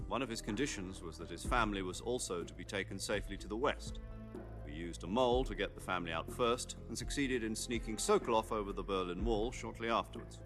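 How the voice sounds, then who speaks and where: Guy acting as deep-ish army commander doing a mission briefing in a game, measured n serious, music playing under it. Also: lightly acted. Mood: measured, explanatory. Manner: measured, deliberate, explanatory. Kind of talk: scripted video game voice acting, expository briefing. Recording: music behind the voice.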